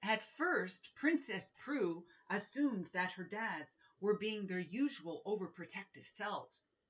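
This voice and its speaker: Slow-paced, expressive woman storyteller. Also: mid-range voice. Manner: expressive, deliberate storytelling with emphasized words. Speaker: children's author reading aloud. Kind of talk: children's read-aloud and informal author talk.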